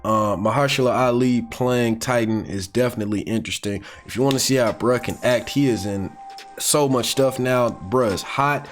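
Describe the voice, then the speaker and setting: An American man giving casual, unscripted fan commentary in a slangy, rambling, excitable way, like an online video creator. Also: medium pitch, moderate pace. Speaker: YouTube commentator on comics and animation. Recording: music playing behind the voice. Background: background music.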